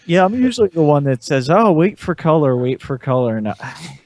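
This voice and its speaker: A man's voice: a little high, animated, a little fast, a little mellow. A man giving his opinions casually as a panelist on a podcast. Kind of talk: casual group chat.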